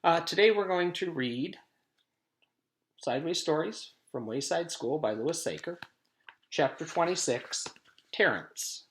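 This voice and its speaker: Man with lively pitch reading a storybook. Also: a little slow, pauses often. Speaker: teacher reading aloud to students. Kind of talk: animated children's storybook read-aloud.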